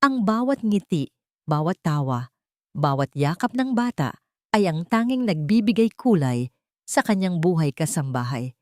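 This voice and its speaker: A woman narrating a scripted drama in short, measured, emotive phrases. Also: lowish voice, big pitch swings, no echo, clean studio sound.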